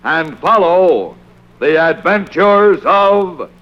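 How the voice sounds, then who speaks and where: A children's serial announcer of vintage radio, speaks high with sweeping intonation. The delivery is emphatic. The old recording sounds dull and lo-fi. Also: male voice, animated, extremely slow, heavily acted. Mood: rousing.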